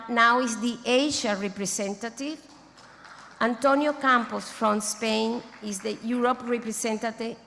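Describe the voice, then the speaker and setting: A woman, not a native English speaker, giving a formal closing speech at a conference, halting and restarting mid-phrase as she thanks organizers and names board members. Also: on the high side, gravelly, fairly bright tone, a little hiss or hum. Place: large conference hall. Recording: long hall reverb.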